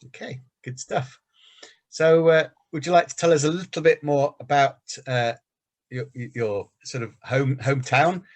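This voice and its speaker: A man conducting an informal interview, speaking haltingly and often repeating words. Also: medium pitch, fairly even intonation.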